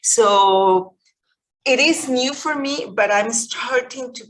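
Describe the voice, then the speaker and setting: A middle-aged woman from Mexico with a Mexican Spanish accent, whose first language is Spanish, gives a reflective public lecture over a video call, gently and with many pauses and restarts. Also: a little high, poor audio. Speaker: guest lecturer.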